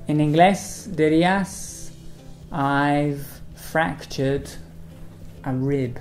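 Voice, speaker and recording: Slow, low-voiced woman teaching English. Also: darkish tone, faint music behind. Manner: slow, deliberate model phrases for repeating. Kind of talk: bilingual language lesson.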